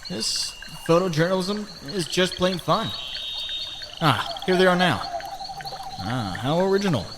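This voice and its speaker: A man's voice: high voice, animated, a little slow. A man playing a young wisecracking superhero photographer in a comic book dub, sarcastic and exclamatory. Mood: sarcastic, musing. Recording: clearly noisy background.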